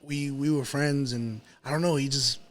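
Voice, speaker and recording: A man's voice: medium pitch, steady tone, moderate pace. A man in his thirties with a New York accent, chatting casually as a rapper on a podcast, hesitant and often saying "you know". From New York City. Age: 30s. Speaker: rapper being interviewed.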